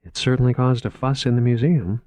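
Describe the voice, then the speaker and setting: A sharp-witted young woman researcher with a cool, pointed, restrained delivery, read by a male narrator. The sound is dry and close. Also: medium pitch, steady tone, brisk, no echo, voice pushed up, natural voice.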